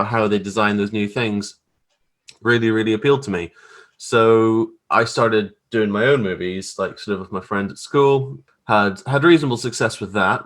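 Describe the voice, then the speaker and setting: A Northern Irish man from Belfast chats informally as a podcast guest. His voice is bright and forward, a little low in pitch, and he rambles through his stories in a self-deprecating way. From Northern Ireland. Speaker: podcast guest, graphic artist. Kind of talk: casual chat, anecdotes.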